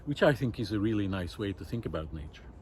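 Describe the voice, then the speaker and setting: A man's voice: on the low side, lively intonation, fast talker, darkish tone, a little hiss or hum. A man giving an unscripted, reflective monologue outdoors in a wooded park, speaking in a thoughtful, hesitant way. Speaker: vlogger.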